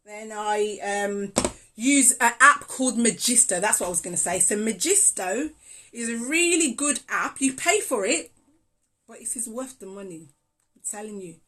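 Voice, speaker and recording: A woman chatting casually to viewers on a phone live stream, excitable and full of ums, reacting loudly to comments. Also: fairly high pitch, normal speed. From England. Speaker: small business owner hosting a live stream. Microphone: phone on a tripod rig.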